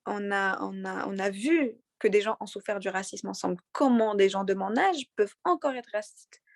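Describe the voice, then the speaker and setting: A young woman with a light Moroccan accent speaks earnestly, stumbling over repeated words as she answers. Her voice sits in a middle pitch. The recording is close and dry, with no room sound. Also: creaky, dry recording. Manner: earnest, halting with many fillers and repeated words. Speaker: interviewee. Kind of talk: conversational interview.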